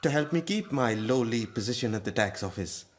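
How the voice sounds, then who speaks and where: An actor playing an embittered moneylender in a radio drama, with a deliberate, insinuating, formally polite menace. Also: male voice, medium pitch, expressive, close to an everyday voice. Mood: sardonic pressure. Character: embittered, menacing Indian moneylender and lowly clerk, a father.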